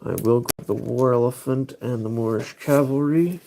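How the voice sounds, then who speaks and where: A man's voice: medium pitch, moderate pace, background noise. A man hosting a casual livestream, thinking aloud as he picks cards. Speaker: livestream host.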